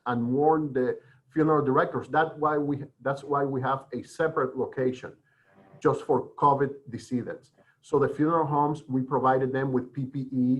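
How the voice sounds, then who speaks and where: Middle aged guy w explaining stuff on a webinar, rambly and hesitant, dull web call sound. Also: medium pitch. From Dominican Republic. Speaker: forensic pathologist, guest speaker. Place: online video call.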